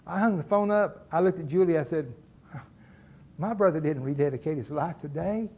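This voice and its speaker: Pastor, middle-aged man, folksy storyteller from the pulpit, high voice, slightly muffled lo-fi recording. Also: moderate pace, warm tone, slightly rough. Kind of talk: sermon. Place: church.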